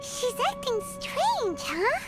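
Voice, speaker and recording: A little childlike fairy companion for a video game. The voice is tiny and extremely high, swooping about with excitable, dramatic chatter. Loud game music plays behind it. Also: female voice, slow, heavily acted. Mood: curious, puzzled. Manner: squeaky, dramatic, refers to herself in third person.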